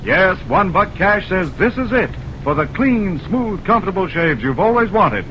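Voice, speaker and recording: Punchy, animated old-radio ad man, noisy recording. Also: sits high, normal speed, dull audio. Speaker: commercial announcer. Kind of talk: 1940s radio advertisement, punchy sales pitch.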